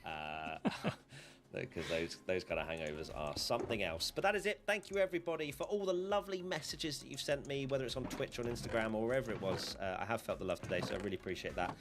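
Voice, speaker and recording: A man's voice: medium pitch, lively intonation, fast talker, noisy background. A man wrapping up a motorsport podcast in an upbeat, chatty way. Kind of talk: lively sign-off chat. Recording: played clip with music behind.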